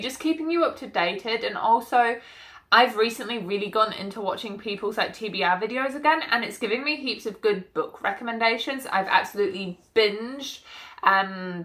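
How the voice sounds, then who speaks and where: A young woman vlogging about her reading list with a bright, clear-toned voice. She sounds a little stuffy from hay fever and rambles along casually, full of fillers and asides. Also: mid-range voice. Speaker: book vlogger. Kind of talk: casual chat to camera about books.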